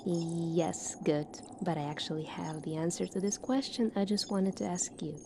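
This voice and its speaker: A soft-spoken woman doing an ASMR roleplay as a calm military doctor, asking questions in a measured way. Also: on the low side, background noise. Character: calm seasoned future military doctor examining a space recruit.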